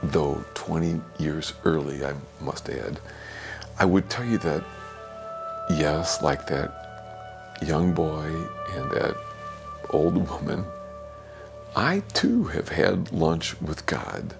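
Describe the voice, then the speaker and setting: An older man, a priest, giving a homily in a slow, gentle, reflective way, sometimes dropping to a soft whisper, from a small office. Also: a bass voice, expressive, gravelly, over music. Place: small office with bookshelves, indoors.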